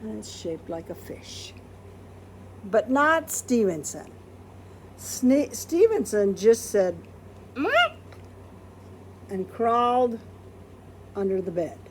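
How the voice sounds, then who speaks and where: Lady reading a kids picture book, really animated and unhurried, bit of noise behind her. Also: medium pitch. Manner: expressive, acts out quoted words, asks listeners questions. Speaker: storybook reader. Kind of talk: read-aloud of a children's picture book.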